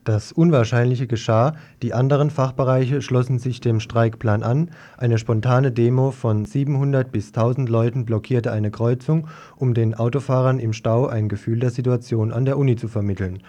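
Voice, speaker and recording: Mellow-voiced man reading radio news. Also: medium pitch, steady tone, moderate pace. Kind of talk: reading a scripted political report.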